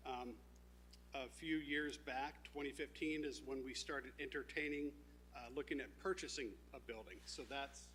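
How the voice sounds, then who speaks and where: A man presenting his company's plans at a town zoning meeting, speaking informally with many fillers and restarts, and a loud voice by his own account. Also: mid-range voice, slight background noise, low-quality recording. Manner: says he has a loud voice.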